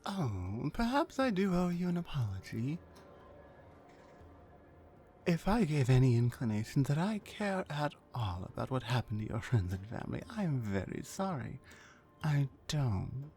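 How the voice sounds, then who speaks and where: A woman playing a dominant, mean orc warrior in an ASMR roleplay, mocking and deliberate, with drops into breathy whispers. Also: a bass voice, sing-song, mellow, exaggerated voice. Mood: coldly sarcastic. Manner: menacing, mocking, slow and deliberate, often dropping to breathy whispers. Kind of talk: ASMR roleplay, slow dramatic monologue.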